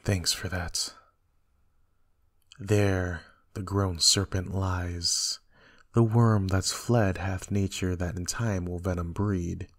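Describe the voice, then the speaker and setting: A man playing a guilt-ridden usurper king in a Shakespearean dramatic reading, with measured, declamatory verse delivery. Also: low-pitched, fairly even intonation, exaggerated voice. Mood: brooding, uneasy. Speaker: amateur actor in a library's dramatic reading.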